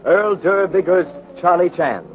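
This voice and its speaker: A man's voice: high-pitched, expressive, a little slow. A man narrating as a 1930s radio announcer, reading a script in a dramatic, grandiose way. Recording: muffled, band-limited 1930s radio recording.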